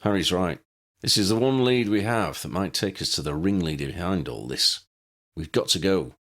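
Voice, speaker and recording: Man playing a croaky, bitter young wizard. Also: sits low, animated, normal speed, fairly bright tone, exaggerated voice. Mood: insistent. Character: bitter, exhausted young wizard, stubborn and blunt.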